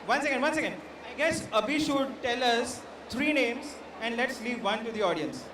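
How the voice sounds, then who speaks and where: A man's voice: very high-pitched, animated, moderate pace, crowd noise. A man with an Indian accent speaking informally to an audience as a presenter and quiz host at a live event. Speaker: art director and quiz co-host. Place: comic convention event. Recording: echoey, noisy event venue, distant pickup.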